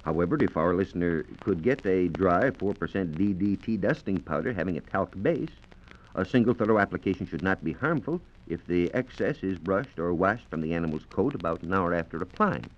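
A deep-voiced American man explains science in a formal, scripted 1940s radio style. His pitch moves in a lively way through measured sentences. The sound is dull and band-limited, from an old transcription disc. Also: a little hiss or hum. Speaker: science reporter on a radio program.

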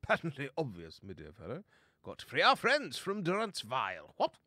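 A man's voice: medium pitch, wildly swooping pitch, moderate pace, sharp tone, no room sound, studio quality, pitched above the performer's own voice, exaggerated voice. A man voicing a chatty upper-class animal adventurer in a storybook, jolly and pompous.

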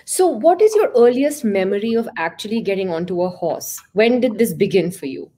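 A woman journalist in her forties hosts an interview over a video call. She speaks fluently and with emphasis, with a few small repeated starts, her pitch in the middle range. The sound comes from a laptop mic in a room at home. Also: animated, normal speed, warm tone, slightly rough. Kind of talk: warm interview conversation. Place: room at home with curtains behind.

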